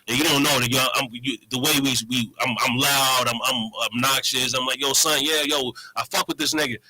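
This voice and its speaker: A man's voice: medium pitch, fairly even intonation, fairly quick, pushed and bright, slight background noise, rough recording. A man giving opinions in a casual panel talk, emphatic, streetwise and profane. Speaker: panel guest.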